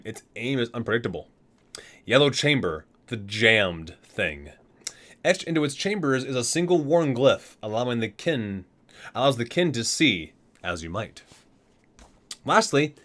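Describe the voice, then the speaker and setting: A man's voice: medium pitch, sing-song, a bit bright. A man doing an ASMR read-aloud, mixing scripted lines with casual asides and often stumbling and correcting himself. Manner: halting, often repeats and corrects himself while reading. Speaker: video host reading aloud from a game book.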